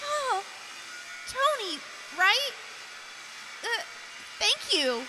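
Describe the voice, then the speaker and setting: A very high, swooping voice, slow-paced and set in background noise. A woman plays a sweet, hesitant young woman in an audio drama, speaking with open emotion. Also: big pitch swings, heavily acted. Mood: surprised, grateful. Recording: noisy background mix.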